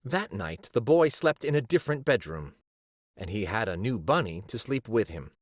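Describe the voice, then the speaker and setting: A man reading a children's story aloud as a storyteller, with measured, dramatic phrasing. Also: fairly low pitch, very animated, no room sound, clean studio sound. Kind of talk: expressive scripted storybook narration.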